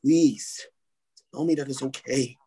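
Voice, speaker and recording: A man playing a brooding, anguished young vigilante hero in an animated film table read, speaking earnestly and pleadingly. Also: medium pitch, unhurried, a little hiss or hum, close to an everyday voice.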